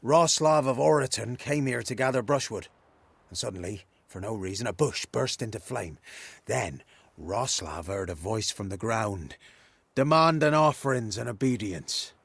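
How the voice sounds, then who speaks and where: An earnest, superstitious rustic peasant, dry sound. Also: male voice, on the low side, animated, normal speed, vocal fry, a bit bright, slightly rough, dry recording, heavily acted. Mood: solemn. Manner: earnest, rustic dialect speech. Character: superstitious, humble male peasant villager. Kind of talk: video game character acting.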